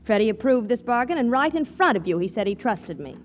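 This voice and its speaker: An actress playing a feisty, romantic, quick-witted young woman in a 1940s radio comedy, with theatrical hesitations. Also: fairly high pitch, sing-song, dull audio, a little hiss or hum. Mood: tart, sparring. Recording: vintage 1940s radio recording, faint music.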